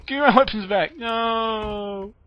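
A man's voice: sits high, takes it slowly, lo-fi sound. Sarcastic male gamer over noisy game sound. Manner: playful sarcasm with short muttered asides. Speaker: let's play commentator. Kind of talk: casual gameplay commentary. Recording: game gunfire and ambience behind voice. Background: video game sound effects.

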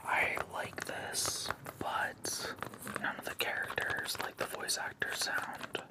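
A man in his thirties whispering casual, enthusiastic fan chat for ASMR, close to the mic in a room at home. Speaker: ASMR video creator showing a collection. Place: small living room indoors.

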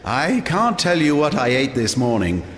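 An actor playing an eccentric, forgetful old architect in a video game, boastful, rambling and theatrical. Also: male voice, mid-range voice, big pitch swings, moderate pace, fairly bright tone. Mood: proud. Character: eccentric, forgetful old male genius architect, proud and unhinged. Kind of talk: dramatic video game acting. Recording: echoey hall with long reverb.

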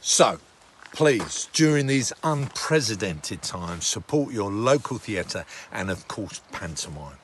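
A man's voice: on the low side, very animated, slow. A middle-aged man presenting to camera outdoors with an appeal. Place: outdoors on a green hillside. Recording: faint noise and clicks.

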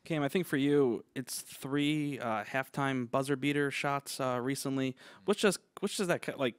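A reporter asks long, halting questions at a postgame press conference, circling back as he goes. His voice is smooth and resonant, sounding dry and close. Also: mid-range voice, no room sound.

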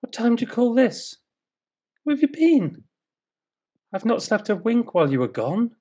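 A man's voice: extremely high pitch, big pitch swings, normal speed, warm tone, breathy edge, dull audio, exaggerated voice. A British man playing a grumpy, sleep-deprived, sceptical husband in a dramatic verse reading, grumbling with sarcasm. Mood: exasperated.

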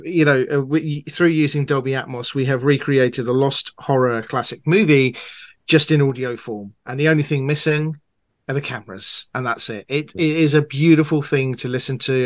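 A man's voice: medium pitch, moderate pace. A man speaking as a guest in an interview, explaining an audio production in a conversational way.

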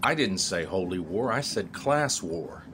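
A man's voice: low voice, animated, measured pace, noisy background. A man with an American accent acting an impassioned, principled revolutionary writer in a historical drama, firm and emphatic. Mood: controlled, cold. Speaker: voice actor.

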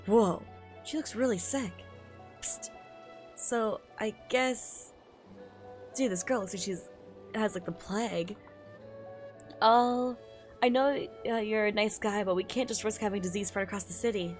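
A woman streamer reading a manga aloud in an expressive, giggly way, with excited reactions in between. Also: medium pitch, measured pace, pauses often. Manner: expressive, giggly, switches into character voices. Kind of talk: live reaction read-aloud with excited asides. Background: music behind the voice.

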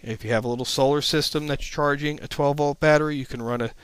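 A man's voice: a little high, fairly even intonation, fast, clear, resonant tone, no echo. A man narrating an informal slide presentation as an instructor, explaining things in a plain-spoken, matter-of-fact way.

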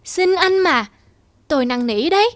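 A woman's voice: very high voice, animated, unhurried, soft background music. A woman voicing a small, naive young turtle in a cartoon, eager, pleading and insistent.

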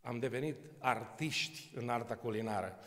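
A man's voice: medium pitch, a bit bright. A man in his sixties preaching a sermon from a church podium, talking conversationally with frequent rhetorical questions and touches of humor. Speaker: pastor. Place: large church hall. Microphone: podium microphone. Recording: long church reverb.

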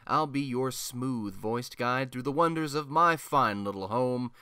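A man's voice: medium pitch, measured pace, sharp tone, clear, resonant tone, no echo, clean studio sound. A man playing a chatty, irreverent radio host from a superhero city, talking in a wry, casual monologue. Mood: breezy, wry. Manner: wry, conversational, mildly sweary.

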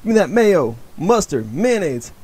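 A man puts on a ravenous, greedy woman in a comedy voiceover. The voice jumps up high, loud and demanding, repeating itself in a frantic way. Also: big pitch swings, measured pace, husky, no echo, full character voice.

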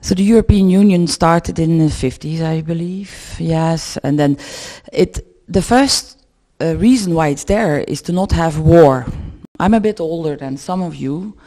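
Middle-aged woman, Dutch accent, warm mellow tone, panel discussion, handheld mic. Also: deep voice, unhurried, few pauses. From Netherlands. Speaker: panel participant. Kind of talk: discussion contribution. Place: medium indoor room with seated audience. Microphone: handheld microphone.

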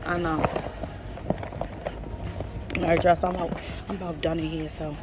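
A young woman talking casually while she shops, often muttering to herself, filmed on a phone held low at arm's length in a large discount store. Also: level tone, normal speed, over loud music. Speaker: vlogger. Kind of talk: casual shopping vlog. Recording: noisy store recording.

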